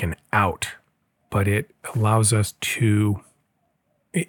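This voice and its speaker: A man with a lowish voice hosts a travel podcast, talking things through in a thoughtful, winding way and correcting himself as he goes. The recording is dry and close, with no room sound around him. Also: no echo. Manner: thoughtful, meandering, with restarts and self-corrections. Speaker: podcast host. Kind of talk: casual podcast monologue and personal storytelling.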